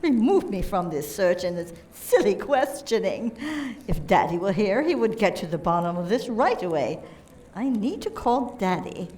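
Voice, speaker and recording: A woman's voice: mid-range voice, sing-song, normal speed, a little mellow, close to an everyday voice. Swooping, spoiled rich party girl played by an actress. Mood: haughty, impatient. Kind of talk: amateur radio play acting.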